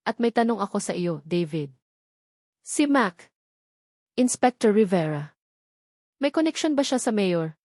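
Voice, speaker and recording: A woman's voice: medium pitch, sing-song, unhurried, pauses often, darkish tone, no room sound, clean studio sound. A woman narrator voicing a wary, determined policewoman in a story, with guarded, pointed, serious speech. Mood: suspicious. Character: wary, determined policewoman, mother searching for her child.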